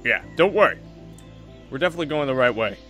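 A man playing an easygoing but firm father, a veteran exorcist in a Minecraft roleplay, talking in a relaxed, reassuring way. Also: mid-range voice, quick pace, over music, natural voice. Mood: calm, fatherly. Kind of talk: improvised Minecraft anime roleplay.

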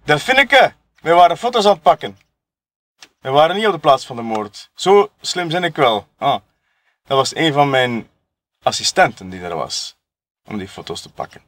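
A man acts out a cocky, shady Flemish photographer who deals on the side in an audio murder mystery. His male voice sits a little high. He talks in loose colloquial speech, smugly taunting and justifying himself. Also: very animated, normal speed, long pauses, fairly bright tone, no echo. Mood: cocky, dismissive. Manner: colloquial, taunting, tag questions, chuckling self-justification. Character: sleazy, cocky male photographer and drug dealer, smugly mocking.

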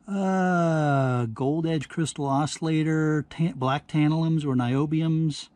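A man's voice: on the high side, a little mellow. A man giving casual hands-on commentary as he works, thinking aloud in a folksy, exclaiming way. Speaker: hobbyist host of scrapping videos. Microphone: action camera or phone near the workbench.

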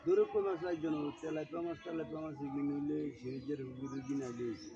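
A man's voice: fairly high pitch, steady tone, fast talker, muffled recording, a crowd in the background. A man chatting casually as he fishes outdoors. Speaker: angler in a fishing vlog. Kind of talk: casual chat. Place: outdoors by fishing water. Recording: noisy outdoor recording with birdsong. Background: birdsong, clear ambient noise.

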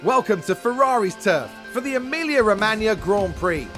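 Race commentator, polished announcer delivery, very high voice, game audio over loud music. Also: male voice, lively intonation, moderate pace. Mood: measured. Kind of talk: scripted broadcast commentary.

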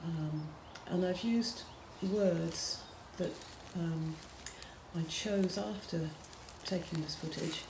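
A woman in her forties, an artist, describing her short films haltingly, with many pauses and fillers. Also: fairly low pitch, vocal fry, background noise. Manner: hesitant, many ums. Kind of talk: casual explanation of her films. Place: room with bare block walls. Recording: echoey room.

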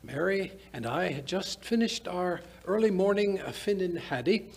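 Radio-play elderly British doctor, acted by an older man: avuncular rambler, highish gravelly voice. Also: expressive, moderate pace, background noise. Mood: relishing storyteller. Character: genial elderly British doctor, storytelling old raconteur. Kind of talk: old-time radio drama acting. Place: small stage in a museum, live performance. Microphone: vintage-style stand microphone.

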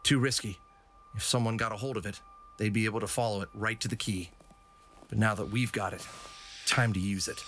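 A man playing a quick-witted, confident fox adventurer, casual and wisecracking, in an audio drama. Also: on the low side, fairly even intonation, a bit bright, slight background noise, lightly acted. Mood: earnest. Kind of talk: animated audio drama acting.

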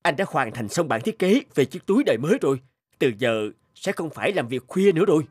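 A man's voice: on the high side, big pitch swings, a little fast, slightly rough. A man voicing an earnest, devoted mountain climber in a cartoon, speaking emotively. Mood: proud, eager. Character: earnest adult male mountain climber, guilt-ridden and devoted friend. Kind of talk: dubbed cartoon acting. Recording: loud music over the voice.

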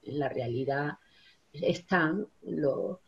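A woman's voice: low-pitched, slow, gravelly. A woman in her sixties, a teacher, talking in a rambling way full of fillers and tag questions in a casual video-call interview. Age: 60s. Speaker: guest, special education teacher and union member. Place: home study with bookshelves. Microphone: laptop webcam on a video call. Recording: dull lo-fi video call audio.

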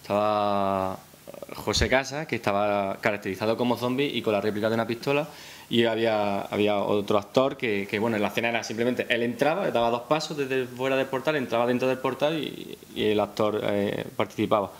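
A man's voice: on the low side, a little fast. A young man with a Spanish accent from Spain, speaking unscripted at a press conference and hesitantly repeating words as he goes. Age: young adult. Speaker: film director. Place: indoor press conference room. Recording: hissy press-room recording.

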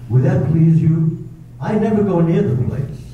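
A man's voice: high voice, measured pace, a little hiss or hum. A man acting a brooding, curt husband with bitter outbursts, recorded tinny, echoey and distant. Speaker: amateur radio drama actor.

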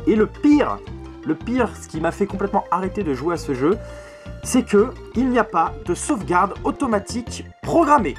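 Emphatic young man with a higher-pitched voice. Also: very animated, moderate pace, faint music behind. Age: 20s. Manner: opinionated, emphatic, repeats words and swears. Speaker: video game collector and reviewer. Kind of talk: casual vlog game review. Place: small bedroom at home, indoors.

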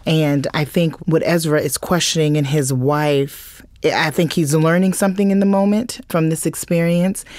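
A woman's voice: low-pitched, steady tone, moderate pace, hardly any pauses, darkish tone, no room sound, clean studio sound. A woman guest giving reflective answers in an interview about her work.

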